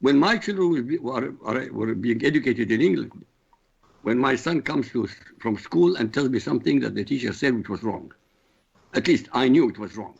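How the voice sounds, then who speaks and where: A man from Iraq, Arabic his first language, talks with a gravelly, husky voice. He gives his views bluntly and forcefully, and the line sounds dull and muffled. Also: mid-range voice, animated, moderate pace. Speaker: show regular. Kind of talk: talk radio discussion.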